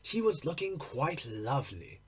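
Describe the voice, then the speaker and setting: A man reading a literary story aloud in an expressive, theatrical manner. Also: on the high side, unhurried, slightly rough. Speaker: audiobook reader. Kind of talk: dramatic literary story narration.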